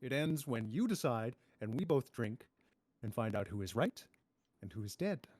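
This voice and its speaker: A man playing a composed, clever masked adventurer in a table read, speaking in a measured, polite, cool way. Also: medium pitch, big pitch swings, darkish tone, no room sound. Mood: calm, confident. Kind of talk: theatrical table-read performance.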